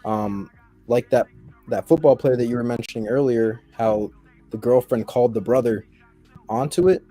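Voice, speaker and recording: Mellow man explaining his view. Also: medium pitch, moderate pace, soft background music. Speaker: panelist.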